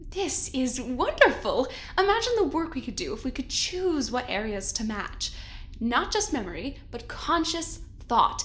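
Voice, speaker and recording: A woman playing an ambitious, quietly ruthless boss in an audio drama, mock-sweet, condescending and theatrical, with drawn-out emphasis. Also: high voice, sing-song, heavily acted. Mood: gleeful ambition.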